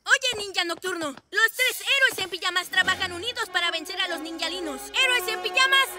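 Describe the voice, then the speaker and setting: A performer voicing a loyal, excitable young child superhero in a Latin American Spanish cartoon dub, urgent and exclamatory. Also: very high voice, brisk. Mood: supportive, rallying. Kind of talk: animated children's series dub. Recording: loud music, somewhat distant voice. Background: loud music.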